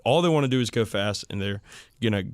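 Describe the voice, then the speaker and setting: A man's voice: on the low side, lively intonation, quick pace, no room sound, studio quality. A man co-hosting a hobby podcast, talking casually and enthusiastically, with some swearing. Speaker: podcast co-host.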